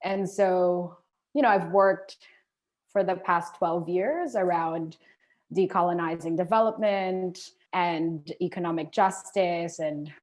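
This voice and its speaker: A young woman from the Philippines, a feminist activist, introducing herself in a thoughtful, relaxed way with frequent fillers. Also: lowish voice, slow. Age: young adult. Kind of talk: conversational self-introduction.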